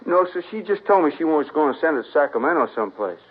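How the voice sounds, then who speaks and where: A man's voice: fairly high pitch, very animated, quick pace. A man playing a nervous, evasive young suspect from New Orleans, speaking with a hesitant Southern drawl in a 1950s radio drama. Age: young adult. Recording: old lo-fi radio broadcast, husky.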